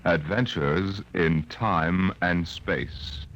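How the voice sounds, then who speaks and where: A man playing a solemn science fiction announcer, narrating gravely with theatrical pauses on an old 1950s radio drama recording. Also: low voice, exaggerated voice. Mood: grave, ominous. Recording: old muffled radio recording, loud music.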